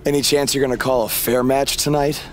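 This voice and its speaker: A man's voice: mid-range voice, little pitch movement, fairly quick, lightly acted. A man playing an earnest young underdog wrestler in a video game story. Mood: nervous, earnest. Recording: noisy arena and backstage ambience.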